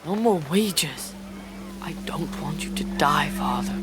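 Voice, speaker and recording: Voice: medium pitch, lively intonation, slow pace, over music. A performer playing a lord's frightened young son in a period audio drama, pleading and fearful.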